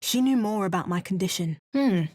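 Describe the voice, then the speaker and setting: A woman's voice: medium pitch, animated, moderate pace, darkish tone, close to an everyday voice. Woman doing a wry resolute heroine, dry asides, everyday mid voice. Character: female adventurer, wry and resolute. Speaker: player character. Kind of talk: video game voice lines and narration.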